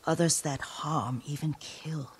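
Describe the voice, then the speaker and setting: A woman playing an elderly, loyal household retainer in a dramatic video game, with a soft, tender and deferential way of speaking. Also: low-pitched, fairly even intonation, a little slow. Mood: solemn, troubled. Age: older.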